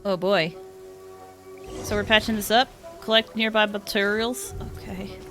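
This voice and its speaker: A woman's voice: medium pitch, fairly even intonation, measured pace, fairly bright tone. A woman game streamer giving casual live commentary in an informal, playful, exclamatory way. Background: game music.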